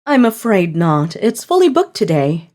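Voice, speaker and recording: A woman's voice: mid-range voice, very animated, a little slow, noisy background. A woman playing a polite hotel receptionist in an English manga dub, with a formal, courteous service manner.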